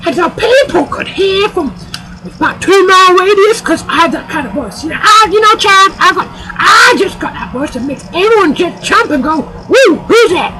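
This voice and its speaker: A loud, sassy older woman guest voiced by a performer rambles through her tale in a very high, piercing voice. She keeps calling people "child" and never lowers the volume for long. It is a comic talk-show sketch. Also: rough recording.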